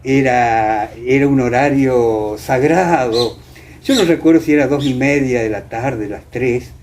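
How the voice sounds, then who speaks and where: An older Argentine man recalls the past as an interview guest, in an Entre Ríos accent. He talks haltingly, with a warm, dark tone at a middling pitch. There is noise behind his voice. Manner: hesitant, pausing often. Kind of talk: reminiscing in conversation. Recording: clear background noise.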